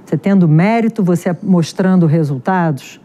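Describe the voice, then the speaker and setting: A middle-aged woman with a carioca accent from Rio, a genetics researcher answering interview questions in a chatty, animated way with many fillers. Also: fairly low pitch, normal speed, muffled recording. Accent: Carioca Portuguese. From Rio de Janeiro, Brazil.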